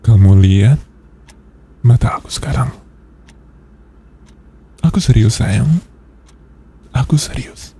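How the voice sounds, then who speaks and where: A man playing a possessive, menacing young boss boyfriend in an intimate ASMR roleplay, murmuring with coaxing, controlling softness. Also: medium pitch, full character voice. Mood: commanding, threatening. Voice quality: breathy, husky murmur. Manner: slow, coaxing, controlling, with soft threats.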